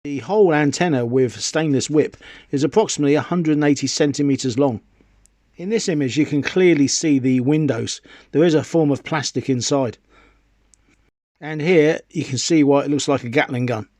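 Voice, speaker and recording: A man with a British accent and a slightly high-set voice presents a hobby review. His delivery is that of an enthusiastic reviewer, and the sound is dry with very little room in it. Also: normal speed, darkish tone, dry recording. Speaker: presenter of radio hobby videos. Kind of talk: enthusiastic product review narration.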